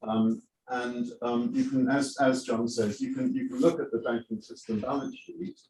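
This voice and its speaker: A man's voice: mid-range voice, flat delivery, moderate pace, a little mellow, a little hiss or hum. A man on a conference panel answering an audience question, blunt and dismissive, with frequent ums as he thinks aloud. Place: conference hall. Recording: echoey hall, voice from far off.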